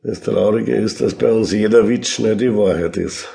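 An actor playing a resigned Austrian police detective, deadpan and sardonic, in a comic radio play. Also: male voice, fairly low pitch, moderate pace, gravelly.

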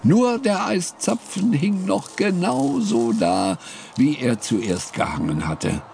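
A man narrating a fairy tale in a calm, storytelling audiobook reading. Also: fairly high pitch. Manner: slow, lively storytelling with gravelly tone. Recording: studio read with clear music bed. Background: music.

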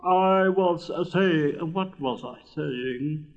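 Man acting a pompous, high-voiced vain rocket. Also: slow, vocal fry, highs cut off. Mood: boastful, affronted. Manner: pompous, severe, indignant. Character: pompous, vain, self-absorbed male firework rocket, easily offended.

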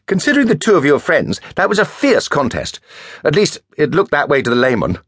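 A man's voice: a little high, wildly swooping pitch, a little fast, fairly bright tone, slightly rough, no room sound, studio quality, pitched above the performer's own voice, heavily acted. A man voicing a theatrical, cocky upper-class teenage schoolboy with an affected drawl. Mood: teasing.

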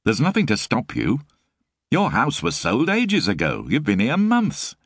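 A man's voice: medium pitch, huge pitch swings, unhurried, slightly rough, dry recording, clean studio sound, pitched above the performer's own voice, full character voice. A man voicing an exasperated young mother who scolds her lodger. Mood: scolding. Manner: nagging, scolding. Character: exasperated young woman, sharp-tongued single mother. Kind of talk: audiobook character voice.

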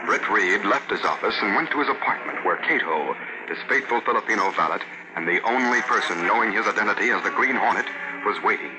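A man's voice: on the low side, expressive, a little fast, heavy background noise. A man narrating an old-time radio drama in a scripted announcer style. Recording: loud music behind the narration.

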